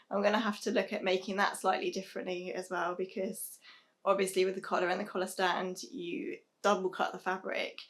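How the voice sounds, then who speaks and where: A young British woman chats to a camera set up in a small sewing room at home. Her voice is a little croaky and worn. She rambles in a friendly way, full of ums and soft laughs. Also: medium pitch. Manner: chatty, many "um"s, soft laughs. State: hoarse, losing her voice. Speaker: sewing vlogger. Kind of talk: casual chat to camera.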